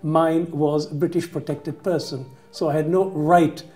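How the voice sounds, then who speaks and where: A man gives careful interview testimony, pausing often on fillers. His voice is somewhat high yet mellow and dark-toned, and soft guitar music plays faintly behind. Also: fairly even intonation. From Kenya. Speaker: interviewee, former refugee.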